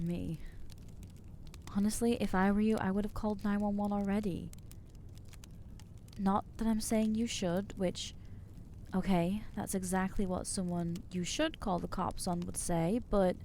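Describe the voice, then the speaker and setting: A jittery young vampire woman, acted out by a woman in an ASMR audio drama, stammering through awkward, self-mocking rambles. Her voice is soft and mellow, and she takes her time with every halting phrase. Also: mid-range voice, fairly even intonation, slight background noise. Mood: anxious.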